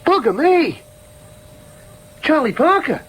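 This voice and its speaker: An eager young son in a radio play. His voice is high and very lively, rising and falling freely. He gushes excitably and trips over first words, hungry for approval. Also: takes it slowly, slight background noise, exaggerated voice. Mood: delighted. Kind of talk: naturalistic radio drama acting, dialogue and inner thoughts.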